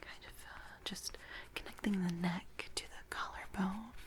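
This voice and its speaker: Woman whispering slowly in soft ASMR. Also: mid-range voice, steady tone. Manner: gentle, reassuring, unhurried instructions. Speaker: ASMR creator playing a makeup artist. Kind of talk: soft-spoken ASMR roleplay, personal attention.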